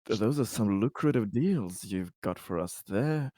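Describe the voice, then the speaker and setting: A man's voice: lowish voice, animated, a little slow, dark tone, dry recording, clean studio sound. A man improvising in character at a tabletop game, courteous and stammering over his words. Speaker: tabletop roleplaying player. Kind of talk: casual improvised in-character conversation.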